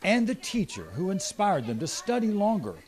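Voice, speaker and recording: A man's voice: sits high, very animated, normal speed, darkish tone. A man with an American accent, a TV news reporter reading scripted feature narration.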